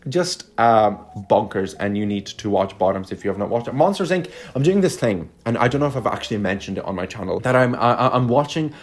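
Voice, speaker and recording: A man's voice: fairly low pitch, lively intonation, fairly quick, hardly any pauses. A young man from Lithuania, a native Russian speaker, talking casually and effusively as an online reviewer, with emphatic swearing. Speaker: YouTube film reviewer. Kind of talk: casual video chat.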